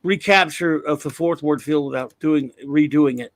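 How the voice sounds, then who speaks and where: A man's voice: a little high, moderate pace, no echo. A man hosting a podcast, chatting casually about comics in a blunt, opinionated way with many restarts. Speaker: podcast host.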